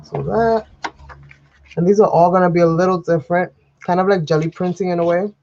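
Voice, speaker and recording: A woman's voice: lowish voice, steady tone, fairly quick, warm tone, lo-fi sound, a little hiss or hum. A woman hosting a live crafting tutorial, chatty and rambling as she talks to viewers, sniffly and under the weather. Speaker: craft livestream host. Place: home craft desk. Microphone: overhead camera livestream, speaker off-frame.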